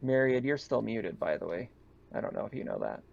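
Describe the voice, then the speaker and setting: A man's voice: fairly low pitch, wildly swooping pitch, brisk, vocal fry. A man acting as game master, talking casually as he runs a tabletop roleplaying game. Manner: casual, conversational. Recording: dull, lo-fi mic with faint noise.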